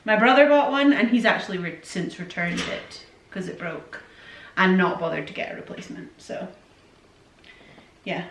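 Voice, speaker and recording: A woman's voice: on the low side, lively intonation, moderate pace, pauses often, a little hiss or hum. A woman in her forties, raised in Scotland, answering viewer questions at home in a rambling, chatty way. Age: 40s. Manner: rambling, chatty, self-interrupting. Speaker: vlogger. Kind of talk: casual Q&A chat. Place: home room. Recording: echoey room from far off.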